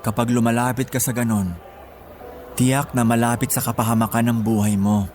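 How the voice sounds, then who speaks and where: A man's voice: medium pitch, little pitch movement, moderate pace, darkish tone, a little hiss or hum, close to an everyday voice. A man acting in a Filipino radio drama as a grateful, protective younger brother with an earnest delivery. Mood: grave. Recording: music behind the voice.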